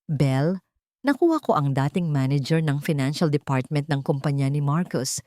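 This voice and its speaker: Brisk, eager man, lowish dry voice, read by a woman narrator. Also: animated, dark tone, no echo. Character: adult man, eager ally and planner.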